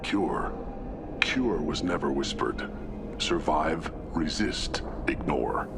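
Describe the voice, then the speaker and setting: A man voicing a simple, solemn, newborn alien brute soldier in a video game, growling in halting, childlike phrases. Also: a bass voice, big pitch swings, full character voice. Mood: resigned. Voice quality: gravelly, growling. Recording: music behind the voice.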